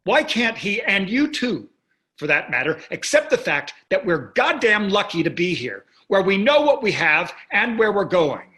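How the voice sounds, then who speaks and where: A man's voice: high-pitched, big pitch swings, normal speed, bright, forceful tone, exaggerated voice. An older man playing a wealthy, glib and dismissive husband and father in a staged play reading. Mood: exasperated.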